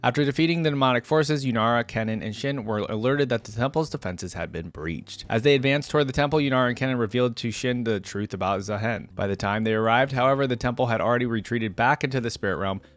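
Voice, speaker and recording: A man giving casual reaction commentary, reading text aloud and thinking out loud in a conversational way. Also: mid-range voice, quick pace, few pauses. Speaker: video creator reacting to game lore.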